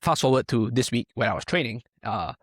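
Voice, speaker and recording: A man's voice: mid-range voice, lively intonation, fairly quick, dry recording, clean studio sound. A young man from Malaysia, a powerlifting coach, talking casually in a podcast interview, filling his speech with "like" and "right?". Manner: rambling, many fillers and tag questions.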